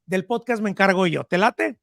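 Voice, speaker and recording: Male podcast interviewer, high voice, informal and talkative, recorded dry. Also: normal speed, creaky, a bit bright, no echo. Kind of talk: casual interview chat.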